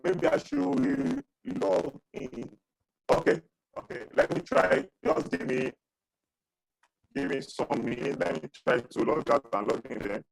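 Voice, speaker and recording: Gravelly-voiced man lecturing online. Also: a little high, wildly swooping pitch, no echo, poor audio. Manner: repetitive, halting, asks for questions. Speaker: accounting lecturer. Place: small indoor room. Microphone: webcam video call with earphones.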